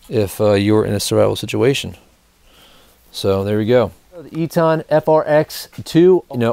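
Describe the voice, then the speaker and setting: A man in his thirties talks casually to the camera as he demonstrates gear. His voice sits at an average pitch and his phrasing is colloquial, like a host talking to regular viewers. The sound is dry, as in a small indoor studio. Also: darkish tone, clear, resonant tone, no room sound. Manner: informal, colloquial, addressing viewers as guys. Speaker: host of a survival gear review show.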